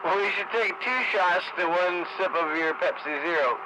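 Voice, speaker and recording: A man's voice: high-pitched, normal speed, dull audio. A man trading casual radio banter, teasing and swearing as he wisecracks. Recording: very noisy CB radio chatter.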